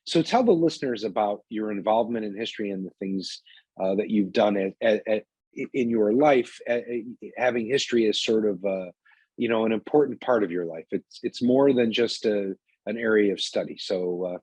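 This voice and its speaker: Husky, low-voiced male interviewer. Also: a little mellow, dry recording. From United States, Chicago. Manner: halting, restarting questions. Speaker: guest host, interviewer. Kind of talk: podcast interview.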